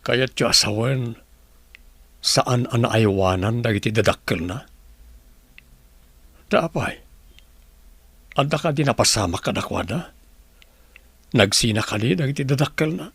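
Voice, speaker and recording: A man's voice: mid-range voice, unhurried, gravelly, pauses often, a bit bright, slightly rough, no echo, exaggerated voice. A man with an Ilocano accent acting an anguished, remorseful husband in a radio drama, in a pleading manner. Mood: probing. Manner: pleading, prayerful. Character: anguished, remorseful husband praying for his sick wife.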